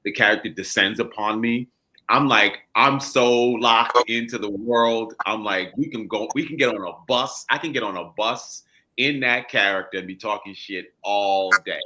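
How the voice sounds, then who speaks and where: Deep, bright-voiced male actor in interview. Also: normal speed, poor audio. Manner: colloquial, emphatic, peppered with 'you know'. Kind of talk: casual, animated-worded storytelling in an interview.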